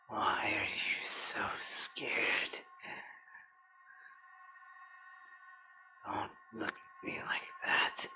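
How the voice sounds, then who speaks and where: A man playing a sinister friend turned starving cannibal creature in a horror audio drama, speaking haltingly in drawn-out half-whispers with menace. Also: on the low side, sharp tone, heavily acted. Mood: hungry, eerie. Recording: noticeable ambient background noise.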